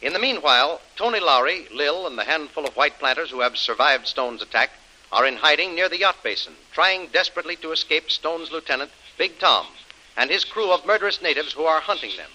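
A man's voice: a little high, expressive, a little fast, bright, forceful tone, exaggerated voice, rough recording. A man narrating an old-time radio adventure serial in a dramatic storytelling style.

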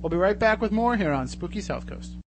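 A man with a slightly high voice hosts live radio in a casual way. There is clear hum and noise behind him in the studio. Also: animated, a little fast. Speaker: radio show host.

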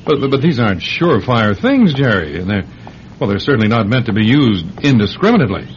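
An actor playing an alarmed, principled doctor in an old-time radio drama, stammering and admonishing in disbelief. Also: male voice, medium pitch, big pitch swings, a little fast, lightly acted. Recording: dull lo-fi radio recording with hiss.

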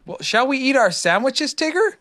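Storybook baby kangaroo, acted by a reader: high, squeaky, eager, bouncy and curious. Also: very animated, normal speed, pushed and bright, exaggerated voice. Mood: excited. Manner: squeaky, breathlessly eager questioning. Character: excitable, eager young kangaroo child, innocently curious.

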